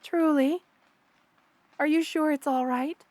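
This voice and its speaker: A woman plays a motherly spider queen, a monster woman with a tender, protective way about her. Her voice sits fairly high and sounds close and dry. She speaks soothingly and a little formally, pausing hesitantly, as in an intimate ASMR roleplay. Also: steady tone, no echo. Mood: touched, loving. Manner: gentle, soothing, hesitant pauses, formal wording. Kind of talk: ASMR roleplay, intimate one-sided dialogue to the listener.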